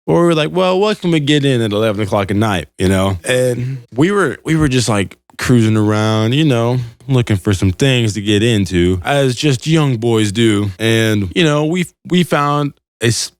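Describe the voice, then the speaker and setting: A man's voice: mid-range voice, lively intonation, moderate pace, few pauses, smooth, no echo. A young man telling casual, comical stories in an informal, slangy, meandering way. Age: young adult. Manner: informal, meandering, slangy, quotes dialogue in retellings. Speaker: podcast storyteller.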